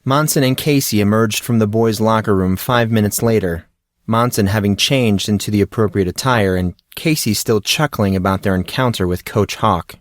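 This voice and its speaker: A man narrating a fantasy audiobook in a steady storytelling delivery. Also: on the low side, normal speed, dry recording, clean studio sound. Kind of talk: audiobook reading.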